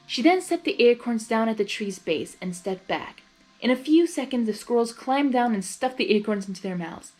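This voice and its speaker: A woman narrating fan fiction in a blind reading, expressive, with her own giggly asides. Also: medium pitch, low-quality recording.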